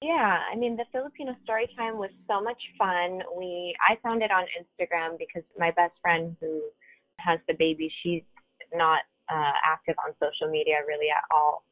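A woman's voice: lowish voice, fairly even intonation, normal speed, dry recording. A woman, a guest, chatting in a rambling, casual way. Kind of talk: casual conversation. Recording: tinny phone line, dry.

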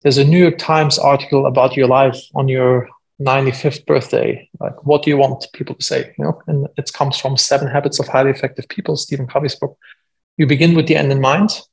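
A man's voice: medium pitch, little pitch movement, fairly quick, vocal fry, low-quality recording. A man in his forties with a German accent, a guest entrepreneur talking casually on a podcast, full of fillers. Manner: conversational, frequent fillers, self-deprecating.

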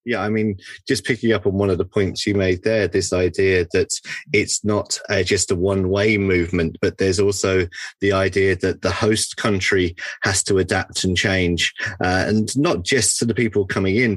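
A man hosting a podcast, speaking in a measured, relaxed way with fillers. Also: sits low, no echo. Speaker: podcast host. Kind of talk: conversational interview.

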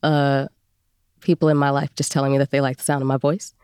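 A woman's voice: very deep voice, flat delivery, a little fast, warm tone, clear, resonant tone, no echo, clean studio sound. A woman speaking casually as an interview guest, a voice performer telling her story.

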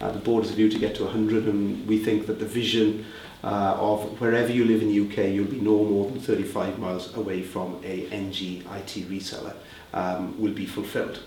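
A man with a Welsh accent talks through interview answers, pausing on fillers and restarting his sentences. His voice sits on the low side. The mic is set back, so the sound is roomy, with faint background ambience. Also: level tone, a little mellow, recorded from a distance, slight background noise. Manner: conversational, with frequent fillers and restarts. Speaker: head of a reseller group.

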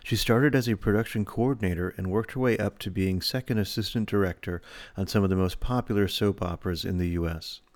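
A deep-voiced man hosts a podcast in a measured, even way, sometimes stumbling over his words as he chats with his guest. His voice is very low and sits in a dry, close recording with no room sound. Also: no room sound. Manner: measured, reads sponsor spots then chats with hesitant restarts. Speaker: podcast host. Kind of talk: interview podcast.

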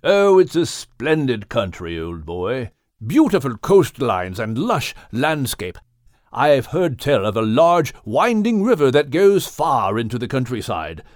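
A man voicing a genial, gentlemanly whale for an audiobook, with a British accent and polite, old-fashioned manners. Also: mid-range voice, big pitch swings, a little slow, no room sound, clean studio sound. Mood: genial, courteous. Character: genial, courteous upper-crust male whale. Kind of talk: audiobook character acting.

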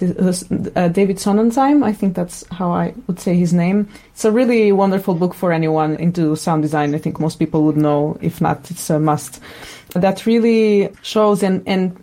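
A woman speaking as an answers in a casual, reflective interview. Her voice is soft-edged, dark and a bit low, and she speaks haltingly as she searches for words. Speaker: sound designer, interview guest.

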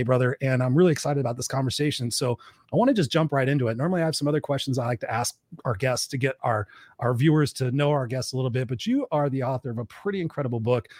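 Hoarse, fast-talking podcast host. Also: male voice, medium pitch, no room sound. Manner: fast, energetic pep-talk delivery. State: hoarse with a cold. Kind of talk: live podcast talk.

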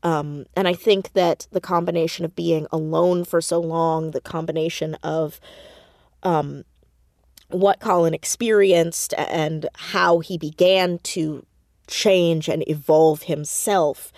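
A woman with a slightly low voice talks as a guest in a casual interview. She takes her time, yet tells things expressively and acts out her asides. The sound is dry and close. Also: fairly even intonation, slow pace, darkish tone, no room sound. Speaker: audio drama creator and voice actor, interview guest. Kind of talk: casual, animated interview chat.

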